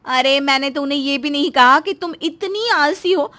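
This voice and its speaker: A woman's voice: sits high, normal speed, pushed and bright. A woman voicing an innocently tactless, cheeky young daughter-in-law in a cartoon moral story, chatty and outspoken. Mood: mock innocent.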